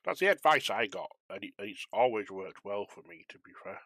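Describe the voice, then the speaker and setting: Low, bright-voiced man chatting casually. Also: expressive, brisk, no echo. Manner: chatty, rambling, self-deprecating. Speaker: streamer, volunteer air traffic controller on a flight simulation network. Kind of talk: casual chat with viewers while controlling.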